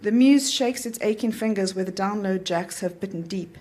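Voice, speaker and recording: A woman's voice: mid-range voice, normal speed, a little mellow. A woman reading a literary short story aloud at an event, in the narrator's voice. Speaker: author reading own story.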